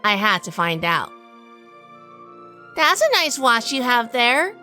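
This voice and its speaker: A shrewd noir heroine, played by a woman, speaks in a bright, highish voice. She is probing and suspicious, narrating a radio play over soft music in a dry recording. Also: sing-song, slow, exaggerated voice. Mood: suspicious, brooding, then coy, probing. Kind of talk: noir audio drama narration and dialogue.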